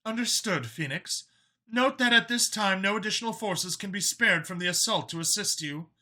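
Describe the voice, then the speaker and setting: A man's voice: high-pitched, moderate pace, pitched above the performer's own voice, heavily acted. A man voicing a female military dispatcher with a formal, robotic delivery in a science fiction audiobook. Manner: flat, formal radio-operator delivery.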